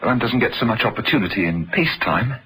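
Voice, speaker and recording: A gloating, unhinged clockmaker assassin in a radio thriller, played by a man. His bright, sharp voice swings widely as he admires his own cleverness and savours every menacing phrase. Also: medium pitch, animated, fast, close to an everyday voice, poor audio. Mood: boastful relish.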